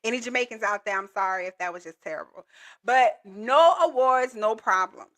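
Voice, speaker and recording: A woman with a high, bright and forceful voice leads a livestream lesson with lots of energy. She stays playful and chatty and talks straight to her viewers. She keeps her audience moving along like a coach. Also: expressive, normal speed. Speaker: government contracting coach.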